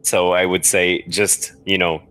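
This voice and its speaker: A man with a distinctly low voice takes part in a story game as a player. His talk is casual and conversational, a little halting, with repeated phrases. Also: level tone, pushed and bright. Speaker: guest player. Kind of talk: casual chat while playing a game.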